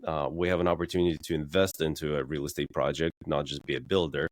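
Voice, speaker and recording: A man's voice: rumbling low, little pitch movement, fast talker, no echo, studio quality. A man being interviewed as a guest, explaining things plainly and casually with frequent "uh" fillers. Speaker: guest, real estate developer.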